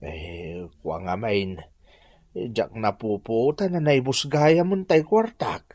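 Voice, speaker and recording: A man playing a hard-drinking, sheepish village man in a radio drama, with a Filipino accent and a slurred, halting delivery full of grunts. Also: lowish voice, a little mellow, no echo, studio quality. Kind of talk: Ilocano radio drama acting.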